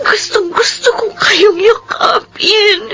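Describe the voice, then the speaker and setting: A woman's voice: extremely high pitch, slow, sharp tone, raised voice, heavily acted, low-quality recording. An actress playing an earnest, emotional grown daughter, guilt-ridden and yearning for her mother, in a Filipino radio drama. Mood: tearful, remorseful. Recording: radio drama with strong music underneath.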